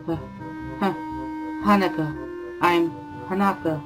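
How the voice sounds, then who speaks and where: Over music, a man performs a painfully shy teenage schoolgirl in a visual novel, her voice pitched well up. She stammers and halts, nervous and quiet, as if scared to be spoken to. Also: steady tone, takes it slowly. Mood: timid, nervous.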